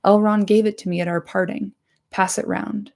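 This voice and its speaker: A woman's voice: mid-range voice, fairly even intonation, normal speed, creaky, darkish tone, close to an everyday voice. A woman voicing a stern, commanding old male wizard in a storybook reading. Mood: grave, firm.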